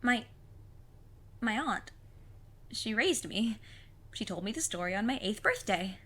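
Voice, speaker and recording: A woman playing a plucky, earnest fifteen-year-old witch's apprentice in an audio drama, with a husky voice and formal, precise wording. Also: medium pitch, expressive, measured pace, sharp tone, exaggerated voice. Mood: uneasy curiosity. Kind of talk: scripted audio drama acting.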